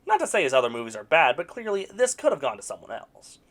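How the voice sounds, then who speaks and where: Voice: mid-range voice, huge pitch swings, quick pace. A movie reviewer giving a casual, opinionated critique, sarcastic and blunt, with occasional swearing.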